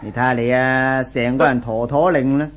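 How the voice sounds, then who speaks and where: Man acting a concerned, mid-pitched friend. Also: steady tone, moderate pace, close to an everyday voice. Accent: Hong Kong Cantonese. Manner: concerned, nagging. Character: concerned, admonishing male friend. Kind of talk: 1970s Hong Kong Cantonese radio drama acting.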